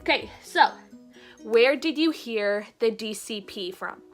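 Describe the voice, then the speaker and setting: A young woman vlogger talks in a chatty, excitable way, with a voice sitting a little high for her. A recent cold leaves her sounding slightly nasal. Light music plays softly behind her. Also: slow pace. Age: young adult. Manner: bubbly, chatty, frequent fillers and laughs. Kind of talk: casual vlog chat.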